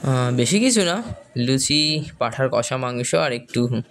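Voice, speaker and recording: A man's voice: medium pitch, a little slow, a little hiss or hum. An actor playing an easygoing young male friend on a trip, heard slightly from a distance. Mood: casual. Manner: casual, friendly, relaxed.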